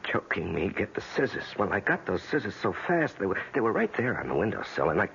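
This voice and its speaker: A protective American husband in a seventies radio drama. His low, gravelly voice is folksy and anxious with lively pitch movement, heard on a dull old recording. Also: brisk, heavily acted. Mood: relieved, puzzled. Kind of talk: 1970s radio drama acting.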